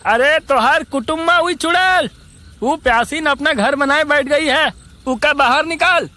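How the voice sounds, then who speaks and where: A man voicing an angry, accusing villager with a rustic Bhojpuri-style accent and a shrill delivery in a cartoon horror tale. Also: measured pace, sharp tone, exaggerated voice. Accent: rustic Bhojpuri-style eastern Hindi.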